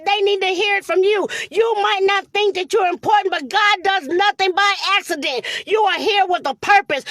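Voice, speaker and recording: A woman's voice: very high-pitched, a bit bright, no echo. A woman preaching in an impassioned, motivational style, exhorting emphatically with repeated phrases and rhetorical questions. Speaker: motivational preacher hosting a live stream.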